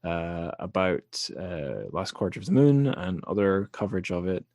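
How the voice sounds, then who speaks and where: Male podcast host, very low voice, dry close mic, laid-back and wry. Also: moderate pace, no room sound. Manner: relaxed, wry, trails off quietly at sentence ends. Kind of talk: conversational interview.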